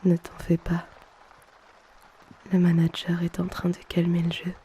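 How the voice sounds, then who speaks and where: A woman playing a soothing, motherly hypnotist and colleague in an ASMR audio roleplay, speaking in a hushed, reassuring manner. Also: lowish voice, completely flat delivery, unhurried, a little hiss or hum, close to an everyday voice. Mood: tender, reassuring. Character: soothing, motherly female hypnotist and bar colleague comforting someone.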